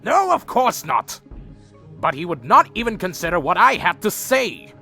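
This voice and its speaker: A man's voice: sits high, huge pitch swings, moderate pace, pushed and bright, faint music behind, full character voice. A man playing a proud, troubled vampire leader who narrates his own story in a fantasy audio drama, earnest and dramatic. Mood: indignant. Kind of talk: first-person scripted narration and audio drama acting.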